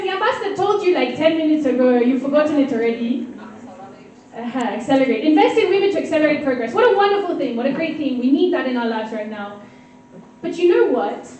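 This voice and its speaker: A woman's voice: high voice, expressive, a little fast, a little mellow, distant mic. A woman from Kenya doing a stand-up comedy set, playfully teasing her audience. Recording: echoey hall heard from afar with crowd. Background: audience laughter and crowd murmur.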